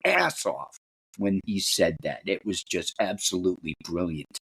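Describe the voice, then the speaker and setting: Low, husky man, casual podcaster. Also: very animated, measured pace, a bit bright, dry recording. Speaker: podcast co-host. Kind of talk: casual film discussion.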